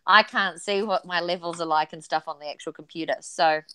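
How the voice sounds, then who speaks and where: A woman's voice: fairly low pitch, steady tone, fairly quick, pushed and bright, a little hiss or hum. A woman from New Zealand, a podcast co-host and guest, chatting cheerfully and giggling as she rambles. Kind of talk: casual chat. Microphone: close USB mic.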